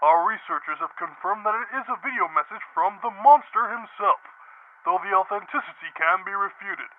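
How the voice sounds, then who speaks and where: Female actor formal police briefer, measured delivery, dull lo-fi sound, faint noise. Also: medium pitch, natural voice. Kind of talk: radio drama acting, announcing.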